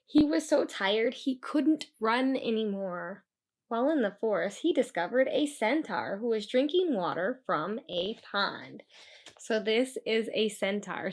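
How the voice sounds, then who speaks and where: A woman reading a children's book aloud in an expressive storytelling style with deliberate, dramatic emphasis. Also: mid-range voice.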